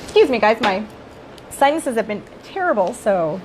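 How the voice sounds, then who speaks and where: A middle-aged woman with a fairly high voice, hoarse from losing her voice, talks through business tips for child care owners. Her manner is chatty and informal, and she often ends points with a quick "right?" to her viewers. Faint background noise sits under her voice. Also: sing-song. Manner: chatty, addresses viewers as "you guys", often tags "right?". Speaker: child care center director and coach. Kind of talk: live-streamed professional advice talk.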